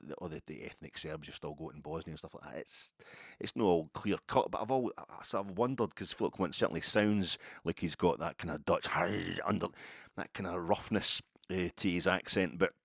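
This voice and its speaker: A man's voice: low voice, a little fast, no echo, clean studio sound. A Scottish man hosting a football fan podcast, chatting casually in a rambling, wry, colloquial way. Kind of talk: casual solo chat.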